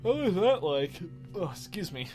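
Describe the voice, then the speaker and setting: A man's voice: sits high, sing-song, normal speed, a little mellow, soft background music. A man doing casual, rambling game commentary as a let's play host, often correcting himself as he goes.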